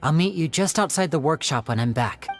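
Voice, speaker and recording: A woman's voice: low-pitched, animated, a little fast. An actress playing a composed woman investigator who questions a suspect in a video game, in a cool, probing manner. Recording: faint music behind.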